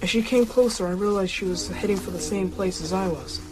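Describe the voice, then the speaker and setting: A woman's voice: medium pitch, a little fast, music in the background, lightly acted, rough recording. A woman playing a bold, earnest young American boy who hunts vampires in a radio drama. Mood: matter-of-fact.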